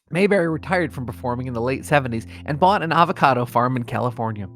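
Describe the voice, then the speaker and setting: A man's voice: medium pitch, a bit bright, a little hiss or hum. An American man hosting a comedy podcast, reading mock obituaries with strained solemnity and chatting casually. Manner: mock-solemn reading that keeps breaking into suppressed laughter.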